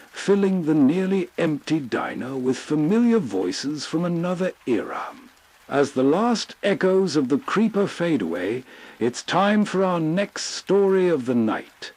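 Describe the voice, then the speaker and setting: A man's voice: fairly high pitch, darkish tone, dry recording. A man hosting with scripted, atmospheric narration, setting the scene in a soothing storytelling manner. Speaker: host.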